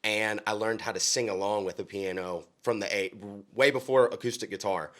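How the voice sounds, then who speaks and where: A man's voice: lowish voice, fairly even intonation, normal speed, a bit bright. A young man from Oklahoma, a country singer, talking earnestly in a casual interview with frequent ums and restarts. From Oklahoma, USA.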